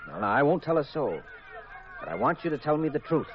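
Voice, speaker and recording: An actor playing an evasive, playful private detective husband in a 1940s radio drama, with a coy, hesitant delivery. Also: male voice, on the high side, sing-song, a little fast, some chatter behind. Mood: coaxing. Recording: muffled, noisy old radio recording.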